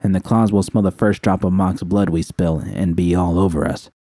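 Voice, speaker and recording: A man's voice: low voice, fairly even intonation, normal speed, mellow, no room sound, clean studio sound. A man voicing a tough, worried woman gang member, slangy and conspiratorial, in audiobook dialogue. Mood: frustrated.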